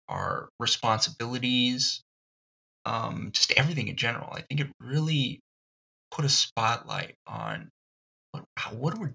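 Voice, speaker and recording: A man hosting a solo podcast, thinking out loud in a thoughtful, halting way with many ums and restarts. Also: medium pitch, vocal fry, slightly rough, lo-fi sound. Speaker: podcast host. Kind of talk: reflective solo podcast monologue.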